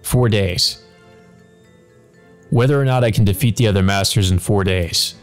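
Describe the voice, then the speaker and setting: Low, brooding mage read by a man. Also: moderate pace, faint music behind, lightly acted. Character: proud, frustrated combat mage, brooding and serious. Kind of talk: visual novel first-person monologue read aloud.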